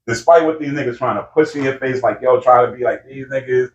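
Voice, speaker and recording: A man's voice: mid-range voice, fast talker, slight background noise. A man, a rapper being interviewed, talking in a laid-back, slangy way full of fillers, among friends in an indoor room. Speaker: rapper guest. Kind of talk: casual interview chat. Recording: roomy, distant, dull lo-fi sound.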